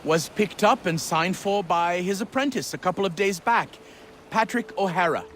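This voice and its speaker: A helpful colonial townsman from a video game. His voice is high, his talk casual and clipped, with faint background noise. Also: moderate pace, a bit bright. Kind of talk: video game character acting.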